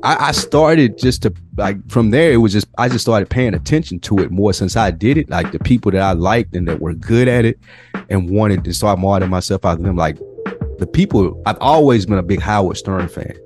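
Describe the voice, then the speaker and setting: A man hosting a podcast, telling stories in casual, animated talk full of slang and swearing. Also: fairly low pitch, a little fast, music in the background. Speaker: podcast host.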